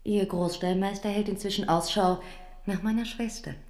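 A woman's voice: mid-range voice, fairly even intonation, normal speed, a little mellow, close to an everyday voice. An actress playing a witty, coquettish young noblewoman in a German radio drama, coy and teasing. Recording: echoey, reverberant hall sound.

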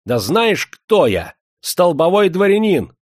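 A man's voice: sits high, big pitch swings, takes it slowly, no echo, studio quality, voice pushed up, heavily acted. A man voicing a shabby, haughty nobleman from an old historical novel, with a bullying, indignant manner. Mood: shrill indignation. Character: shabby, haughty, shrill hereditary nobleman, fawning then furious.

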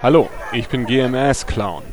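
A man playing a formal, unhelpful game master who recites in a deadpan, scripted way in a comedy audio drama. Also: mid-range voice, normal speed, very noisy, natural voice. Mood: flat officialese. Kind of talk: comic radio play parody acting.

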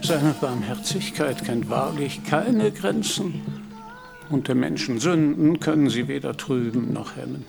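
An actor playing a dying old knight in a radio play, gentle and repentant, speaking in solemn, formal phrases. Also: male voice, mid-range voice, lively intonation, gravelly, music in the background. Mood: solemn, grateful. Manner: solemn, measured, formal delivery. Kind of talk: literary radio play acting.

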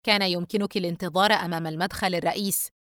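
A woman's voice: lowish voice, fairly even intonation, racing, fairly bright tone, dry recording, studio quality, close to an everyday voice. A woman voicing a callous, mocking teenage boy, cold and sarcastic, in dramatised audiobook dialogue. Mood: indifferent. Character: callous, mocking teenage brother, sly and indifferent.

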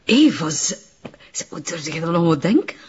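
An actress with a Flemish accent playing a devoted, gullible sect follower in a radio drama, exalted and easily indignant. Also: female voice, lowish voice, sing-song, moderate pace, a little mellow, slightly rough, lo-fi sound, heavily acted. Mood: shocked, reproachful. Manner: exalted, indignant, hesitating over words.

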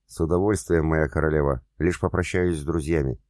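A man's voice: a bass voice, steady tone, a little fast, darkish tone, no echo, studio quality. A man voicing a boastful crooked businessman who flirts and swaggers. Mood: charming.

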